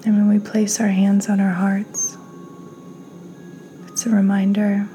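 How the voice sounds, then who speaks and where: A woman hosting and guiding a meditation in a calm, gentle, soothing manner, her soft delivery often slipping toward a breathy near-whisper. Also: mid-range voice, measured pace, soft background music. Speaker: podcast host, meditation guide. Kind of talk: guided meditation, slow and soothing.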